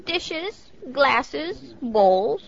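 An actress playing a chatty elderly widow in a nursing home who keeps asking if you knew. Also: female voice, high-pitched, very animated, drawn-out words, gravelly, exaggerated voice. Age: older. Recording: dull lo-fi recording.

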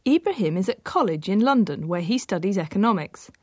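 A woman radio presenter interviewing a learner and narrating in a clear, measured way. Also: medium pitch, very animated, no room sound.

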